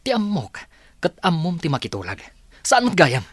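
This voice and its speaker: A man's voice: a little high, wildly swooping pitch, normal speed, a bit bright, breathy edge, dry recording, heavily acted. A man with an Ilocano accent acting in a radio drama as an arrogant, short-tempered rich boss. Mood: angry, domineering. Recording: dramatic music bed behind voice.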